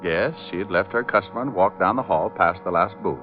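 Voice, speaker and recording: An actor playing a wisecracking, breezy private detective, wry and flippant in hardboiled old-time radio narration and dialogue. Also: male voice, sits low, fairly quick, lightly acted. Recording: muffled, narrow vintage radio recording, music.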